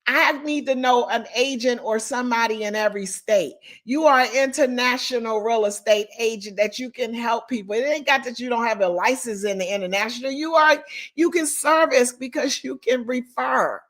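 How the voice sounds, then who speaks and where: A woman, coaching real estate agents in an animated, emphatic way. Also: on the high side, moderate pace. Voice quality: raspy. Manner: animated, emphatic, talks straight to the audience. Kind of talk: live online coaching session.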